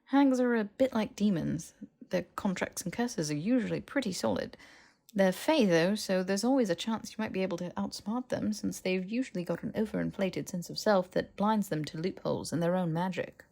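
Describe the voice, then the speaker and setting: A woman voicing a brisk, bookish, sceptical young woman in an audiobook, explaining and questioning with precision. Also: mid-range voice, gravelly, warm tone. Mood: thoughtful, explaining. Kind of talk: audiobook character dialogue.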